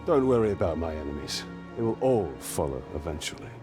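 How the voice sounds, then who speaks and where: An actor playing a resolute war leader in a fantasy video game, confident and commanding. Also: male voice, low-pitched, big pitch swings, a little fast. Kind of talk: video game character acting. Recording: music clearly behind the voice.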